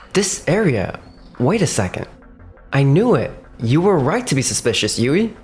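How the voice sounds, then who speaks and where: A man's voice: fairly high pitch, big pitch swings, a little mellow. A man voicing a level-headed, cautious young company boss who also narrates a manga dub. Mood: focused. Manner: measured, composed. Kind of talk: manga dub dialogue and first-person narration. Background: faint background music.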